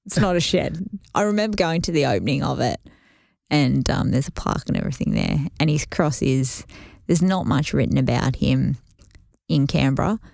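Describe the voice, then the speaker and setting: A woman's voice: very deep voice, animated, normal speed, creaky, a little mellow, dry recording, clean studio sound. A woman co-hosting a podcast, telling a rambling family story in casual chat. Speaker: podcast co-host.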